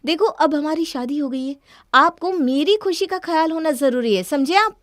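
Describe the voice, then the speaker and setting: A woman's voice: high voice, normal speed, dry recording, clean studio sound, close to an everyday voice. A woman voicing a spoiled, defiant young wife in a cartoon story, arguing in a dismissive, indignant way. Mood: irritated. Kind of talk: Hindi cartoon story dialogue.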